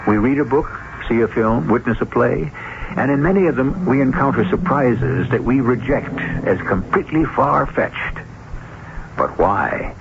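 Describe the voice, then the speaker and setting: A man narrating as host of a vintage radio drama, in a theatrical, suspenseful style with dramatic pauses and posed questions. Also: medium pitch, expressive, creaky, background noise. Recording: muffled old radio broadcast.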